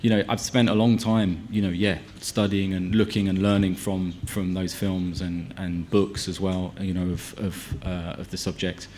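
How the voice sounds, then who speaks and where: A man's voice: low-pitched, level tone, moderate pace, few pauses, darkish tone, slight background noise. A film director answering at a press conference, with many restarts and fillers as he gathers his thoughts.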